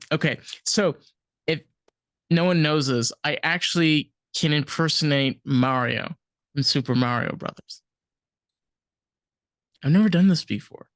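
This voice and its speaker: A woman with a New York accent co-hosting a podcast, animated and playfully defensive, stumbling over quick restarts. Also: sits low, dry recording. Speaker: podcast co-host. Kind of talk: casual chat.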